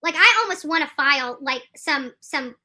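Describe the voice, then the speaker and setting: A woman giving live, opinionated legal commentary, emphatic and halting, repeating phrases for stress and often restarting her sentences. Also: high-pitched, lively intonation, pushed and bright. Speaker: streamer commenting on court news.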